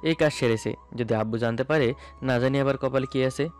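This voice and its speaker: A man tells a story in the first person as a dramatic narrator. His pitch is middling for a man, and soft music plays behind him. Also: fairly quick. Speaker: narrator of a romantic audio story. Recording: music bed under the voice. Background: background music.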